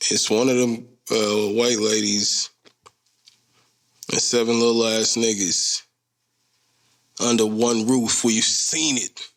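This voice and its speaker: A man's voice: on the low side, a bit bright. A man in his thirties hosting a solo podcast, talking in a loose, rambling, slangy and joking way. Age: 30s. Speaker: podcast host. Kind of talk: casual solo podcast chat.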